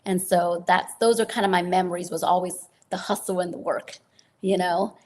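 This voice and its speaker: A woman's voice: on the low side, steady tone, moderate pace, darkish tone. A woman interview guest speaking informally and telling personal stories in a casual, conversational way. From Vietnamese refugee family, raised in Germany and the US. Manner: informal, often says "you know" and "right".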